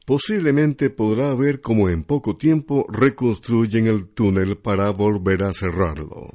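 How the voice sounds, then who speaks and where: Male radio host, lowish pitch, steady explanatory delivery speaking to the audience. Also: unhurried. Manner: addresses listeners directly. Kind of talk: educational radio talk.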